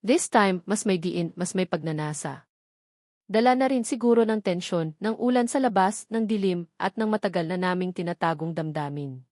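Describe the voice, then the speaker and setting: A woman's voice: lowish voice, darkish tone, no room sound. Filipino woman narrating romance, dry close sound. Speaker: story narrator. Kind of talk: first-person dramatic romance narration.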